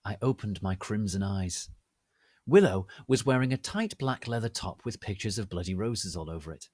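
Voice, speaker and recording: A man narrating fan fiction as a theatrical, deliberate dramatic reading. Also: fairly low pitch, a little mellow, no room sound, studio quality.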